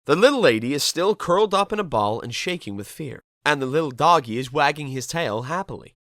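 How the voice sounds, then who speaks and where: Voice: mid-range voice, animated, a little fast, a bit bright, no echo, clean studio sound. An actor playing a curious, polite amnesiac spirit detective, musing in a game fandub. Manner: reflective, polite, talking to themself. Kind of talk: game fandub narration and dialogue.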